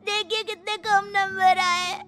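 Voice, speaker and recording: A woman voicing a whiny young schoolboy in an animated moral story, crying and wailing reproachfully. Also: very high-pitched, unhurried. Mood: tearful, reproachful.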